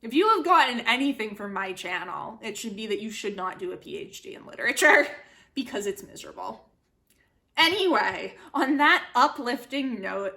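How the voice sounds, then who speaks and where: Female book vlogger, young adult, bright forward voice, casual and chatty, self-deprecating and giggly. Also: medium pitch. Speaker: book vlogger, channel host.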